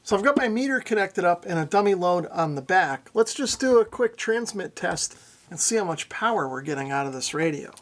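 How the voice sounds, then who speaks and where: A man's voice: high-pitched, animated, a little fast. A middle-aged man narrating a casual hands-on product demo, explaining things methodically and without hurry. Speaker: radio reviewer, presenter.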